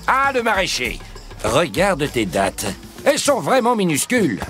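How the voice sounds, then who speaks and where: An actor playing a pompous, dim-witted and self-assured grand vizier in a children's cartoon, stammering and blustering. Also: male voice, sits high, wildly swooping pitch, a little slow, music in the background, heavily acted. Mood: haughty, critical. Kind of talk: French children's cartoon acting.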